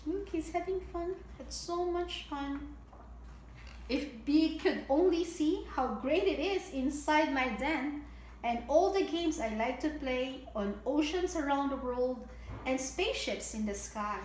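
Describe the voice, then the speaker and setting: A middle-aged woman with a very high voice reads a children's picture book aloud at an unhurried pace. She is expressive and keeps her explanations simple for little listeners. The room is echoey. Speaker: early childhood story time host. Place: indoor home room with table. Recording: echoey room with audible background noise.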